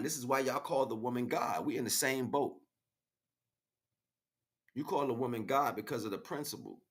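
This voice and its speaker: An American man giving an emphatic, freestyle spiritual monologue in street slang, repeating phrases as he goes, with a husky voice. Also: mid-range voice, fairly bright tone. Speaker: spiritual livestream speaker.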